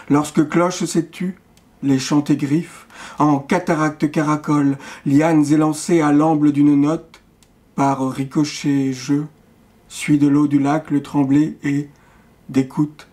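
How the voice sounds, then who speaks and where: A man reading poetry as a poet would, in short, measured phrases set apart by pauses. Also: fairly high pitch, dark tone.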